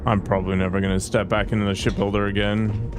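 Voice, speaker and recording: A man's voice: fairly low pitch, flat delivery, fast talker, over music. A man casually commenting with wry asides while playing a video game. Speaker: gameplay streamer. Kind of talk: let's play commentary.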